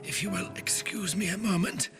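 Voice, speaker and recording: A courteous servant in a game cutscene. He is formal, apologetic and measured, with very loud music behind him. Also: high-pitched, fairly even intonation. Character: polite, apologetic British household servant.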